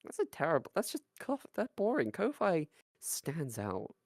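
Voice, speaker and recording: Co-host, animated pitch, laughing, swearing, teasing, dry close mic. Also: moderate pace, no echo. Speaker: podcast co-host. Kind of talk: casual chat.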